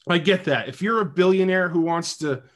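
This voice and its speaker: A man's voice: high-pitched, a little fast, fairly bright tone. Male podcast host, chatty and jokey, talks politics off the cuff in a loose livestream. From New Hampshire. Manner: joking, chatty, thinks aloud. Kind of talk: casual political chat on a livestream.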